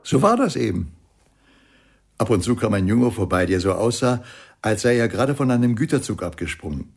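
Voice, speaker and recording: A man's voice: lowish voice, very animated, brisk, vocal fry, breathy edge, soft background music, exaggerated voice. An actor playing a drily amused club jazz musician who tells his memories matter-of-factly in a radio play.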